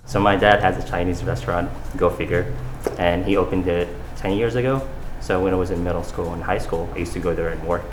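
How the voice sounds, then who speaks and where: Very low, flat-voiced young man. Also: brisk, echoey room, over music, low-quality recording. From Saudi Arabia. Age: young adult. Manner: earnest, talks in loose fillers and restarts. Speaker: interview guest, fashion designer. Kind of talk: casual interview answers.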